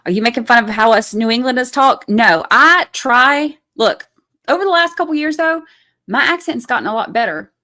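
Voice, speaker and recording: A woman's voice: a little high, lively intonation, moderate pace, pushed and bright. A woman hosting a casual livestream, reading viewer comments aloud and reacting to them in a chatty way.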